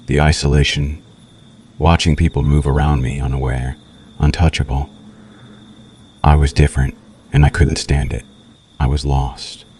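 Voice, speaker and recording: A man playing a seductive, menacing vampire in an ASMR roleplay, speaking slowly and intimately with a possessive, darkly romantic air. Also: a little hiss or hum. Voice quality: very deep, husky, breathy. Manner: slow, intimate, softly menacing, teasing.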